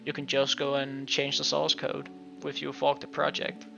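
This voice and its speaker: A Danish man who is not a native English speaker, streaming casual game-dev commentary in a halting way full of restarts. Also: medium pitch, monotone, vocal fry, music in the background. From Denmark. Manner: halting, many restarts and fillers. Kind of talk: casual live-stream commentary while coding. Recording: muffled lo-fi stream audio.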